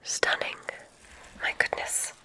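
Slow whispering ASMR woman as gentle witch. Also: rough recording. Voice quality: breathy whisper. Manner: gentle, deliberate, softly instructing. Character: kindly witch examiner at a magic school. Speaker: ASMR roleplay performer. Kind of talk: whispered ASMR medical exam roleplay.

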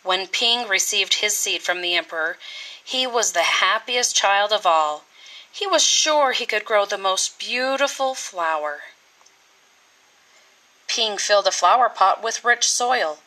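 A woman reading a children's storybook aloud with deliberate, expressive, dramatic phrasing. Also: medium pitch, low-quality recording. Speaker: teacher reading a picture book.

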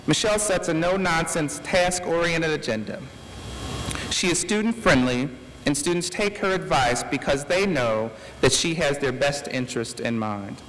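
A man's voice: a little high, moderate pace, fairly bright tone. A man reading a formal scripted introduction as a ceremony presenter at a podium microphone. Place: large indoor ceremony hall, on stage. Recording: reverberant hall with clear background noise.

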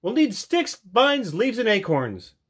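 A man voicing an eager, righteous little dragonfly leader in a storybook, with bright, emphatic exclamations. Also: sits high, huge pitch swings, slow pace, breathy edge, voice pushed up, full character voice. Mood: cheerful, confident.

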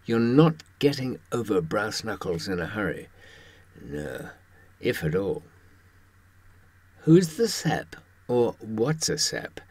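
A man in his seventies with a husky voice, presenting a conversational monologue in a wry storytelling manner with rhetorical questions. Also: on the low side, darkish tone, dry recording. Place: indoors, in front of a green screen.

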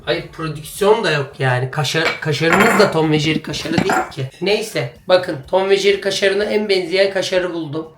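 A man's voice: on the high side, moderate pace, few pauses, noisy background. A young man chatting casually to the camera as a vlogger, often exclaiming and complaining in a playful way. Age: young adult.

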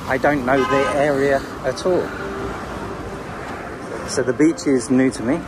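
A man giving casual, unhurried commentary in short musing phrases as he films a walking travel vlog outdoors. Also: mid-range voice. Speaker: travel vlogger. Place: outdoors, walking along a city beachfront. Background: music, traffic and street sounds.

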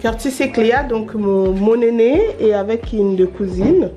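A woman's voice: fairly high pitch, a little slow, mellow, lo-fi sound. A woman filming a holiday house tour on a handheld camera, chatting casually with many hesitations and restarts. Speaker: vlogger giving a house tour.

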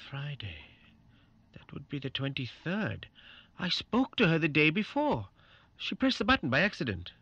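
An actor playing a polite, sympathetic alarm operator in a radio drama, heard through an intercom speaker. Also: male voice, a little high, wildly swooping pitch, moderate pace, pushed and bright, husky, dry recording, muffled recording, heavily acted. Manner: polite, solicitous. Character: Paul, courteous, sympathetic male alarm-company call operator.

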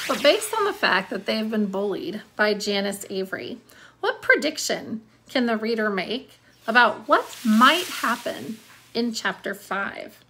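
A woman's voice: medium pitch, sharp tone, a little hiss or hum, low-quality recording. A woman in her thirties, an elementary school teacher, reading aloud and explaining with questions to students, stressing key words. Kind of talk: read-along lesson with questions to students.

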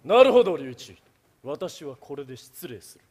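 A man's voice: a little high, very animated, moderate pace, a little mellow, a little hiss or hum. A man on stage playing a stern, haughty young prosecutor with a formal, pompous way of speaking. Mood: indignant. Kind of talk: theatrical stage play acting. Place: theater stage, live performance.

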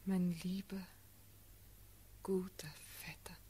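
A woman's voice: medium pitch, measured pace, a little hiss or hum, close to an everyday voice. An actress playing an anguished, tender young noblewoman, speaking elevated, emotional verse in a classical radio play.

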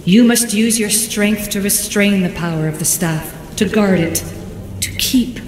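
An actress playing a solemn, ancient godlike guardian spirit in a video game, speaking in a measured, formal, oracular way. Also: female voice, medium pitch, slight background noise, lightly acted. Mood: solemn instruction. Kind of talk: scripted video game cutscene acting. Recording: echoey hall reverb, music behind.

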